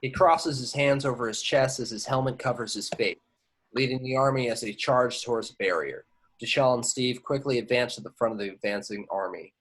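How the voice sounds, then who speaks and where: A man's voice: mid-range voice, a little fast, fairly bright tone, husky, rough recording. A man reading screenplay action lines aloud as narrator in an amateur table read. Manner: stumbles on invented place names.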